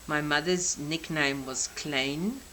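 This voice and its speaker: A South African woman in her sixties, a native Afrikaans speaker, gently explaining each detail of her craft journal. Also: deep voice, takes it slowly, a little hiss or hum, low-quality recording. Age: 60s. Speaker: craft channel host. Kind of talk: crafting show-and-tell flip-through.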